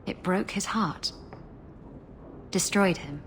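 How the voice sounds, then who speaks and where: A woman's voice: fairly low pitch, slow pace, a little hiss or hum. An actress playing a sultry, guarded pirate woman in a video game, teasing and flippant. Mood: wry.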